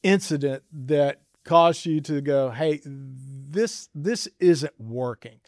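A man's voice: on the high side, slow pace, no room sound, clean studio sound. A man hosting a conversational interview, encouraging and affirming his guest as he asks questions. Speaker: interviewer, show host.

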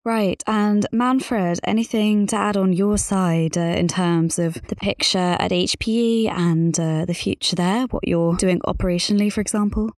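A woman's voice: medium pitch, fairly even intonation, moderate pace, few pauses, a little mellow, no room sound. A woman hosting an interview podcast, putting questions to guests and addressing listeners. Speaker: podcast host.

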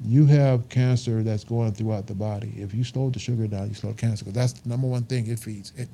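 A man's voice: lowish voice, steady tone, fairly quick, mellow, slight background noise. A man in his fifties, a truck driver being interviewed, talking earnestly and emphatically and repeating his points. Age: 50s. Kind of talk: casual interview talk.

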